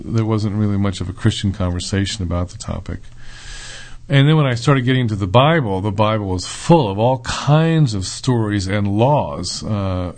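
A middle-aged man, a seminary professor, talks as a guest in a relaxed interview, pausing often to think. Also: fairly low pitch. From United States. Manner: thoughtful, with frequent "uh" and "um" pauses.

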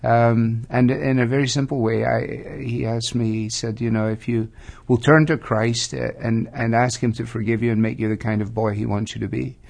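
Gravelly Scottish pastor in his fifties. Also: mid-range voice, steady tone, darkish tone. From Scotland. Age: 50s. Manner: measured, thoughtful, with frequent hesitations. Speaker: pastor and guest. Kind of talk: reflective interview storytelling.

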